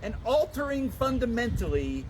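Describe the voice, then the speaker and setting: A man giving a solemn, dramatic public reading of a historical document, with deliberate, declamatory phrasing. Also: very high-pitched, sing-song. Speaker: public reader and livestream host. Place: outdoors at a town memorial. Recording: noisy outdoor livestream, traffic and street sounds.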